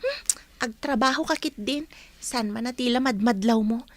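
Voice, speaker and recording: An actress playing a shy, hardworking young woman office worker in an Ilocano radio drama, speaking softly and modestly. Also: fairly high pitch, moderate pace, dry recording, natural voice. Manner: soft-spoken, modest.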